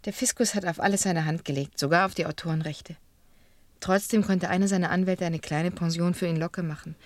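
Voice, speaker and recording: A woman's voice: on the low side, fairly even intonation, fairly quick, no echo, lightly acted. An actress playing a candid, courteous woman musician in a radio crime drama, speaking politely and openly. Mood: candid, earnest.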